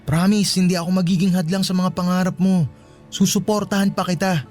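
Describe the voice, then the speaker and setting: A man's voice: sits high, little pitch movement, a little fast, darkish tone, faint music behind, lightly acted. A Filipino man playing a lovestruck, persistent teenage suitor in a radio drama, earnest and pleading.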